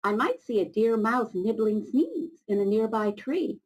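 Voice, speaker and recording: A woman's voice: on the high side, sing-song, unhurried, darkish tone, low-quality recording. A woman voicing a curious, restless little beetle in a children's storybook, eager and questioning. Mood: dreamy, eager. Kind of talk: storybook character reading.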